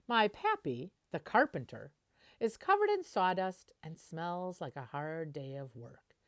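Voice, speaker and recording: Woman reading aloud, very lively pitch. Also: a little slow. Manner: expressive, explains words simply. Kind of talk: children's picture book read-aloud with explanations.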